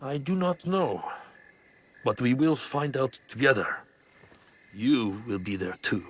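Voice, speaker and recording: An actor playing a gentle, fatherly man of feudal Japan, encouraging and measured, in a video game cutscene. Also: mid-range voice, slightly rough. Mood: fond, encouraging.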